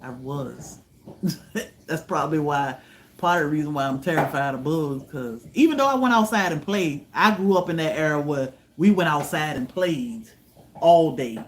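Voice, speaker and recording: A man from Virginia, hosting a homestead livestream, chatting with viewers in a relaxed way, often letting his voice trail off softly. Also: a little high, normal speed, a little hiss or hum. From Virginia, USA. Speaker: homestead channel host. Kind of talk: livestream chat answering viewers.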